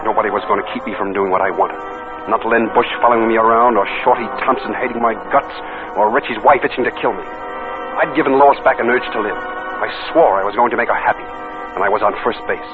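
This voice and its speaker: An actor playing a tough, menacing gangster who narrates in slangy, hard-boiled 1940s radio noir style. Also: male voice, on the low side, fast talker, narrow, tinny audio, natural voice. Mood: hard-boiled narrating. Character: tough, menacing male gangster narrating his crime story. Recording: band-limited old radio recording with music.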